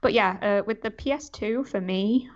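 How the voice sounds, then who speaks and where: A woman's voice: medium pitch, normal speed, highs cut off, soft background music. A young woman chatting casually and informally on a gaming podcast, rambling as she goes. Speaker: podcast panelist.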